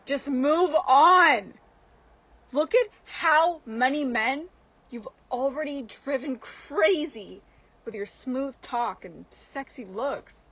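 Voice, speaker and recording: A sly, bossy temptress spirit, acted by a woman, in a radio horror play. Her fairly high voice swings widely and stretches words out slowly, emphatic and coaxing. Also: lively intonation, a bit bright, full character voice, low-quality recording. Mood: exasperated. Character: sly, bossy seductive female spirit, a frank friend. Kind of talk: radio horror drama acting.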